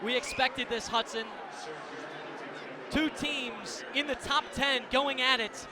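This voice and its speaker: A man's voice: very high-pitched, steady tone, slow pace, a loud crowd. A man calling a lacrosse game live on radio as play-by-play announcer, in vivid, clipped running description. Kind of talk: live radio sports commentary. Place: sports venue broadcast position. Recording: loud arena noise behind commentary. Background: loud game ambience.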